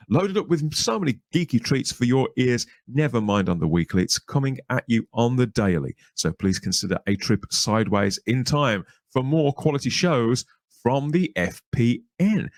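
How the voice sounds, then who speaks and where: A man's voice: medium pitch, dry recording. A man hosting a podcast in a chatty, enthusiastic, promotional way. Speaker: podcast host. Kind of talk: podcast interview and scripted sign-off.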